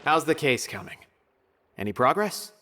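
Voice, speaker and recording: A man's voice: fairly high pitch, sing-song, moderate pace, bright, forceful tone, a little hiss or hum. A man playing an earnest officer in a video game, speaking formally and courteously. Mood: inquiring. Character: polite, earnest male officer requesting help.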